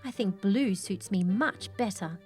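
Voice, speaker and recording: A woman's voice: medium pitch, unhurried, soft background music, close to an everyday voice. Woman as a plucky, spirited little storybook girl. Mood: firm.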